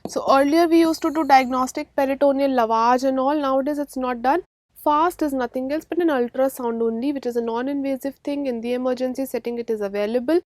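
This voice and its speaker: A woman's voice: high-pitched, fairly quick, darkish tone, dry recording. A woman with an Indian accent teaching a medical exam-prep lecture, emphatically repeating key points and checking understanding with questions.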